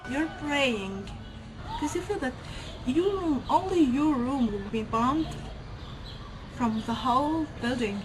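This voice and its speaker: A woman from Gaza gives earnest, halting interview testimony. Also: high-pitched, expressive, over music, poor audio. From Gaza, Palestine.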